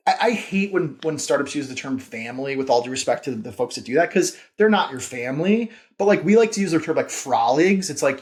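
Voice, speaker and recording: A man speaking as a founder and podcast guest, informal and conversational, repeating phrases for emphasis and leaning on "like". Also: fairly high pitch. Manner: informal, frequent "like", emphatic repetition. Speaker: company founder and CEO, interview guest.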